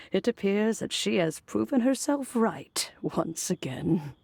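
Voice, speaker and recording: A woman voicing a wise, ancient and serene elderly immortal in a video game, talking in a measured, reflective storytelling way. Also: mid-range voice, animated, creaky, darkish tone, breathy edge, dry recording, exaggerated voice. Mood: amused, reminiscing. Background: music.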